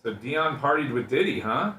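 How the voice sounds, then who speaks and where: A man's voice: mid-range voice, very animated, moderate pace, pushed and bright. A man chatting casually as part of a radio show crew. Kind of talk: casual chat. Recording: echoey room with faint noise, clicks.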